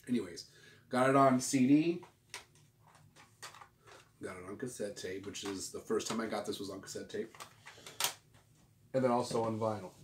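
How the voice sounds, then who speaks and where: A man in his forties chatting casually to camera like a vlog host, with a laid-back, rambling, slangy delivery. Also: mid-range voice. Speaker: host of a record collecting video channel. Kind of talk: casual show-and-tell vlog chat. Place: small home room, indoors. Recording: roomy, distant mic with clear background noise.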